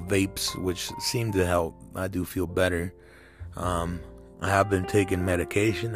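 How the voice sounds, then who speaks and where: Man in his thirties, Mexican American accent, very low voice, rambling casual talk, music behind. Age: 30s. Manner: rambling, many fillers and restarts. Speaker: podcast host.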